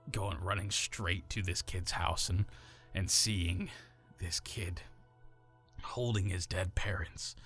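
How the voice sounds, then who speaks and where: A man from the American South with a neutral accent and some natural gravel in his voice, casually explaining how he builds a character voice. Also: sits low, fairly bright tone. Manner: casual, rambling, many fillers and restarts. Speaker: voice actor.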